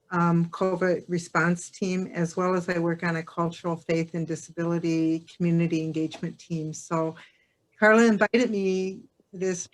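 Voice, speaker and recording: A woman's voice: on the low side, rough recording. A woman introducing herself on a video call in a friendly, chatty way. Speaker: public health staff guest. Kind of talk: self-introduction.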